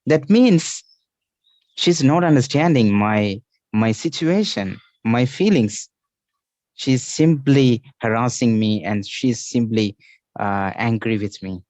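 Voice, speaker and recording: A man's voice: mid-range voice, lively intonation, takes it slowly, a little mellow, no room sound. A man with an Indian accent hosting an online English class over a video call, patiently explaining idioms and repeating the key phrases.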